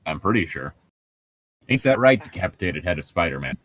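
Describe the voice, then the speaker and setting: A man's voice: deep voice, big pitch swings, fairly bright tone, breathy edge, no room sound. Man, sarcastic co-host, casual banter, read-aloud, swinging intonation, dry recording. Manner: teasing, sarcastic, quick to laugh. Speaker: co-host of a comedic book-reading show.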